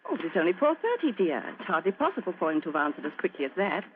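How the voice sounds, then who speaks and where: A woman playing a genteel, concerned wife in a radio drama, polite and gently fussing. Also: on the low side, sing-song, rapid-fire. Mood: mildly querulous. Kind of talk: old-time radio drama acting. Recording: phone-like old radio with faint noise.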